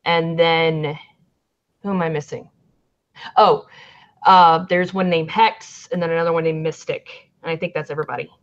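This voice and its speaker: A woman with a Southern accent chats and rambles on a livestream, cursing freely as she goes. Her voice sits low for a woman. The audio is dull and lo-fi. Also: steady tone, moderate pace, long pauses. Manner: rambling, chatty, swears freely. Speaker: band manager. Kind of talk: casual livestream chat. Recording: lo-fi stream audio.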